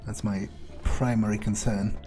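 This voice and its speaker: A man's voice: fairly low pitch, level tone, a little slow, mellow, soft background music. A man roleplaying his character in a game playthrough, thinking aloud in a laconic, offhand, musing way.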